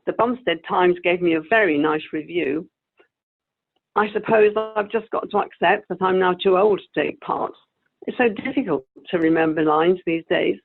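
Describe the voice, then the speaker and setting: Radio play old thespian played by a woman: genteel, wistful, gently vain, creaky, tinny line. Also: lowish voice, normal speed. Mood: nostalgic. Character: wistful, genteel elderly actress, sweetly vain and persistent. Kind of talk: amateur radio play acting. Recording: tinny, phone-like video call audio.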